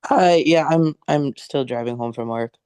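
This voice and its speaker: A man giving a casual, low-key introduction of himself as a teacher, a little hesitant. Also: moderate pace, a little mellow, smooth, no echo. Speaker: lead children's house teacher. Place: in a car, driving.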